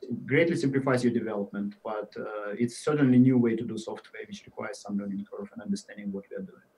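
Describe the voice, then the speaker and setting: A man in his forties speaks with a Russian accent and a dark, mellow tone. He explains things haltingly, with plenty of uhs and restarts, and his voice sinks quieter as sentences trail off. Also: fairly low pitch. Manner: halting, many fillers and restarts, drifts quieter at phrase ends. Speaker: guest software engineer. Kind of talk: podcast interview answers.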